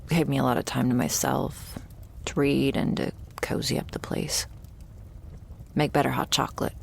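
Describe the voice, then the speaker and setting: A woman playing a jaded, wry, terminally ill shopkeeper who serves a vampire, speaking in an intimate, deadpan ASMR roleplay monologue. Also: a little hiss or hum, full character voice. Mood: wry, reflective. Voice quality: low, husky, gravelly. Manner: deadpan, sardonic, slow and pausing, swearing casually.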